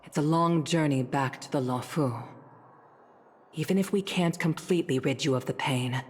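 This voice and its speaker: Low, husky, dignified mature woman. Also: moderate pace. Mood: gently concerned. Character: stern but caring mature female official, dignified and restrained. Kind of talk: scripted video game cutscene acting. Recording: long reverberant hall echo.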